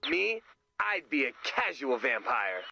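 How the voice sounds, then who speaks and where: A man voicing an excitable, earnest and nervous sidekick in a video game, with a goofy manner. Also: medium pitch, a little slow, creaky, slightly rough, highs cut off, full character voice. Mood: eager, chatty.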